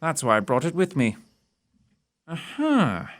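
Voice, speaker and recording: A man's voice: on the high side, huge pitch swings, measured pace. A man playing an arrogant, touchy young detective in audio theatre, speaking formally and with dry precision. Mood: intent, assured. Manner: formal, precise, dryly sardonic.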